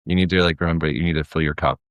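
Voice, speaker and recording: Very deep-voiced man, dry close sound. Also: fairly even intonation, racing, a bit bright, no room sound. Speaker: guest, audio drama creator. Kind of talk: casual interview conversation.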